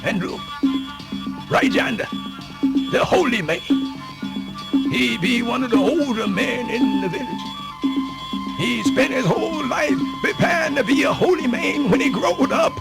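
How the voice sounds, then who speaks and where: High-pitched, slow-spoken male folk storyteller. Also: animated, creaky, low-quality recording. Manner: folksy, exclamatory, drawn-out oral storytelling. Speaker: storyteller narrator. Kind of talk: dramatic folktale storytelling, radio theatre. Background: music.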